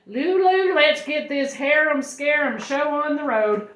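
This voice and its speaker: A woman voicing a bossy, brisk school nurse in a children's story, firm and emphatic. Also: sits high, a bit bright, roomy sound, slight background noise, voice pushed up, rough recording.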